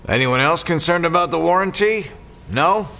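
An actor playing a shrewd, cocky mercenary in an audio drama, sardonic and confident. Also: male voice, fairly high pitch, animated, normal speed, noisy background.

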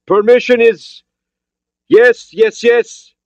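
A male narrator performs a weary Soviet submarine officer in a thriller audiobook. He has a Russian accent and formal, hesitant English with animated pitch, recorded dry and a little dull. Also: dry recording. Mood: tense, halting. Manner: formal, halting, carefully worded English.

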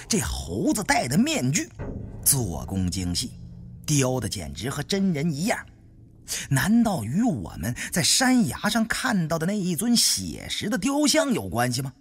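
Highly animated male audiobook storyteller. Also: breathy edge. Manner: expressive, theatrical storyteller phrasing. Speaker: audiobook narrator. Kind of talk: dramatic first-person audiobook storytelling.

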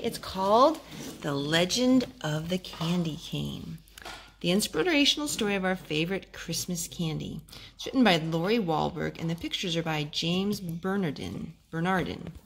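A woman reading a picture book aloud at home, expressive and gentle, as a host talking to small children. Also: lowish voice, moderate pace. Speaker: storytime host, reader to young children. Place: home living room with wooden floor. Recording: home video with clear background noise.

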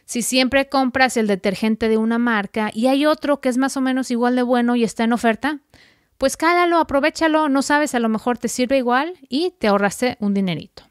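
Podcast host, woman, bright higher voice, dry close mic, chatty tips. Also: fairly quick, no echo. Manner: friendly, chatty, direct second-person tips. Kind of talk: casual advice podcast monologue.

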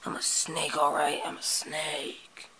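A performer voicing a laid-back, boastful male snake who talks in breathy whispers and a drawn-out manner. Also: medium pitch, completely flat delivery, bright, forceful tone, poor audio. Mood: chill.

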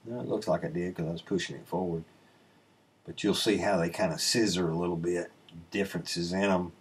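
Low, husky man narrating his sawmill footage. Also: steady tone, a little fast. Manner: laid-back, explanatory, rambling with many "um" and "uh". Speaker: sawmill channel host. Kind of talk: casual voice-over commentary on footage. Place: indoor room, voice-over recorded away from the sawmill. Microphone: webcam inset, seated at a desk.

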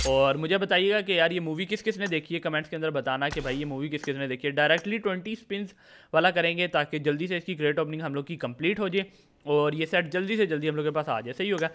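A man's voice: fairly high pitch, fairly bright tone. A man with a Pakistani accent doing a casual, informal game commentary voice-over, mixing in English words. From Lahore, Pakistan. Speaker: gaming video host.

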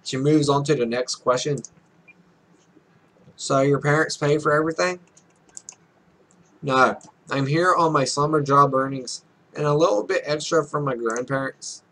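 Young male let's player, bright and slightly high voice, casually reads visual novel text with stumbles and offhand swearing. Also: measured pace, pauses often. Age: young adult. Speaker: let's play host. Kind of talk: reading a visual novel aloud with casual commentary.

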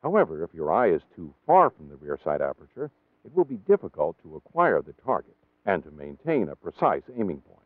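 A man with an American accent reading scripted training-film narration in a measured, formal, precisely enunciated way. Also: low-pitched, wildly swooping pitch, dry recording, narrow, tinny audio.

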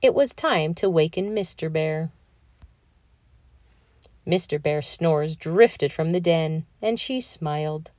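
A woman reading a children's picture book aloud in an expressive, deliberate storytelling manner. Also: sits low, no room sound, studio quality. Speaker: storybook reader.

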